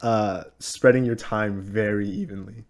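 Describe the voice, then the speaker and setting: A man's voice: on the low side, fairly even intonation, unhurried, over loud music. A young man hosting a podcast in casual chat, upbeat and laughing often, with lots of fillers. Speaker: podcast host.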